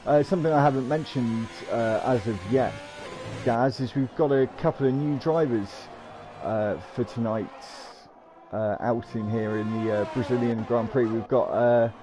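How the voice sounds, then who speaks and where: A man's voice: mid-range voice, dark tone. A man hosting and commentating an online racing league, chatty and joking, with plenty of "uh" fillers as he introduces the drivers. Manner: chatty, lots of uh fillers, jokey. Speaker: league host and lead commentator.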